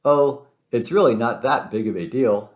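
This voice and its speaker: A man's voice: medium pitch, normal speed, echoey room, natural voice. A man voicing a confident, friendly race car in a children's storybook, cocky but good-natured in manner.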